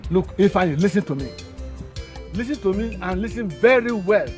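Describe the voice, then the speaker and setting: A stern Nigerian uncle. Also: male voice, high-pitched, expressive, moderate pace, soft background music. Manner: lecturing, fatherly. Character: stern older uncle, family elder.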